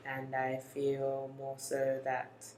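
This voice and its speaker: Soft-spoken musing young adult, echoey room. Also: mid-range voice, monotone, slow, a little hiss or hum. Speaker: co-host. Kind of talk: reflective personal conversation.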